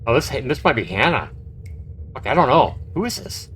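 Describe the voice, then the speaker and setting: A man's voice: medium pitch, very animated, moderate pace, fairly bright tone, a little hiss or hum. A man live-streaming a game, reading its text aloud and adding casual, reactive commentary. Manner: reactive, conversational.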